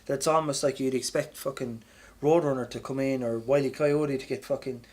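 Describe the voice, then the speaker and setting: A man's voice: mid-range voice, fairly even intonation, fairly quick, a little mellow. An Irish man co-hosting a podcast in a casual, rambling, sweary and colloquial way. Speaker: podcast co-host. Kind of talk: casual chat.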